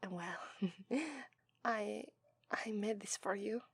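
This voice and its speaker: A woman with an Italian accent voicing a shy, affectionate young demon princess bride in an ASMR roleplay. She speaks gently and softly, often drops to a whisper, and falters and restarts when she is nervous. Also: mid-range voice, steady tone, slow pace, breathy edge, no echo, studio quality. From Italy. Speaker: voice actress.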